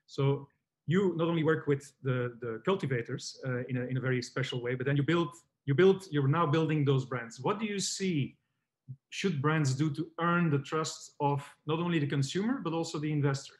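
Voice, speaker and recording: A man moderating a webinar panel, measured, linking remarks and passing questions between guests. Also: on the high side.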